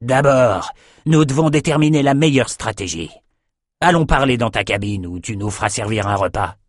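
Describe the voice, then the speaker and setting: A man's voice: mid-range voice, lively intonation, normal speed, no room sound, clean studio sound, close to an everyday voice. A man voicing a stern, commanding warlord general. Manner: clipped, commanding.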